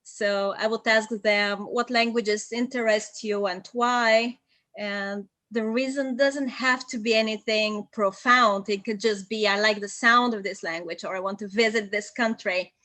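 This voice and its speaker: A young woman whose first language is Bulgarian, a translator calmly giving methodical language-learning advice in an interview, with frequent ums. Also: medium pitch, measured pace, no echo. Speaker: polyglot guest, translator.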